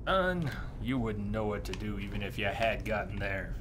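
A man's voice: lowish voice, normal speed, background noise. A man in his thirties chatting casually with viewers while playing a video game, with playful, exclamatory commentary. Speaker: video game live streamer. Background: fantasy video game sounds.

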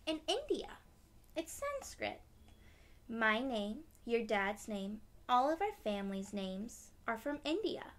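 A woman voicing a gentle, loving mother in a storybook, speaking softly and earnestly to reassure her daughter. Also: a little high, big pitch swings, takes it slowly, heavily acted. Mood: tender, reassuring. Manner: soft, tender, earnest.

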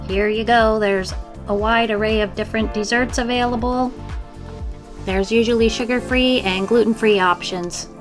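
A woman's voice: on the high side, fairly even intonation, normal speed, soft background music. A woman narrating a casual guided tour as a travel vlogger, chatting to viewers with tips and questions.

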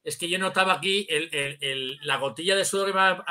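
A man's voice: on the high side, brisk, sharp tone. A middle-aged man with a Spanish accent from Spain, chatting casually and telling anecdotes in colloquial language over a video call. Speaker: guest. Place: indoor room, on a video call.

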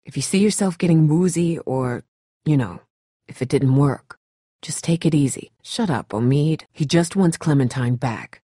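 A woman playing a tough, blunt, protective young survivor in a video game, with a husky voice and a terse, urgent, sardonic delivery. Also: low voice, moderate pace, warm tone, no echo, clean studio sound. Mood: tense, guarded. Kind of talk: dramatic game dialogue.

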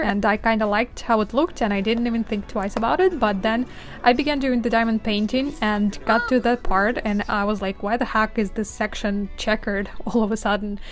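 A woman with a slightly higher voice talks through her craft project in a relaxed, conversational voice-over. She sounds chatty and a little exasperated, with frequent small laughs. Faint music plays quietly underneath. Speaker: craft vlogger. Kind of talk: casual voice-over commentary.

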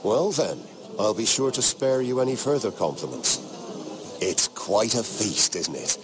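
A man playing a chatty, teasing nobleman who flatters freely in a dramatic video game. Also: on the low side, measured pace, crowd noise. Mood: smoothly sociable.

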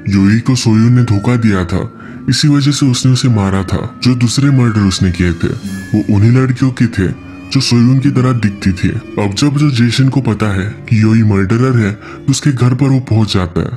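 A man's voice: mid-range voice, normal speed, dark tone. A man giving a scripted movie-recap narration, telling the story in a plain, explanatory manner with simple sentences. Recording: music under the voice. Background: background music.

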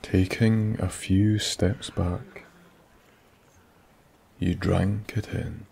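A man with a Scottish accent narrating a soft-spoken bedtime story in a slow, hushed, soothing way that drifts into whispers. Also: low voice, little pitch movement, creaky, slight background noise.

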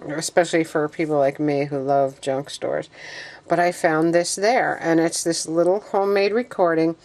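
A woman with a fairly low-pitched voice talks like a casual vlogger. Her delivery is informal and gushing, as if chatting to viewers. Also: steady tone, moderate pace, darkish tone. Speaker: vlogger showing a thrift find. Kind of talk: casual chat.

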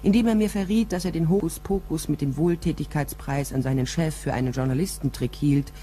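An actress playing a wry, sharp-eyed female private detective, narrating a crime radio drama in a dry, measured way. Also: low voice, a little hiss or hum, close to an everyday voice. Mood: dry, ironic.

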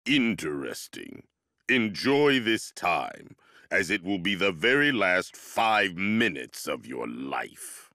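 An actor playing a cold, arrogant tyrant who leads a criminal empire, speaking in a slow, menacing, commanding way in a game cutscene. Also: male voice, deep voice, big pitch swings, bright, forceful tone, dry recording, studio quality, heavily acted. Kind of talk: video game voice acting.

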